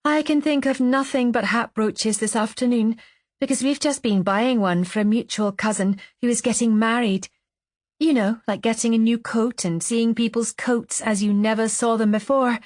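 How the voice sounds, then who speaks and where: A woman's voice: on the high side, fairly even intonation, moderate pace, a little mellow, no echo, clean studio sound, voice pushed up, close to an everyday voice. A woman voicing an easygoing, chatty nurse on holiday in an audiobook, talking in light, idle chatter. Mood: idly chatty.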